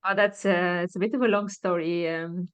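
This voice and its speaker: A woman in her thirties from Bulgaria with a Bulgarian accent, answering interview questions hesitantly with many fillers and soft laughs. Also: fairly low pitch, fairly even intonation, normal speed, smooth. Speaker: guest.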